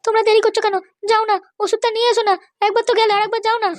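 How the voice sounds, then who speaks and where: A woman's voice: extremely high pitch, little pitch movement, very fast. A woman voicing an anxious older parent in an amateur cartoon, pleading and insistent. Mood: urgent, insistent.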